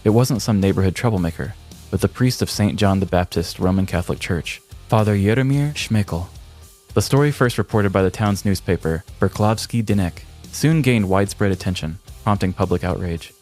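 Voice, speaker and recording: A man's voice: fairly low pitch, fairly quick, a little mellow, faint music behind. A man co-hosting a podcast, speaking in a storytelling and conversational style. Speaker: podcast co-host. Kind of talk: scripted story narration and casual banter.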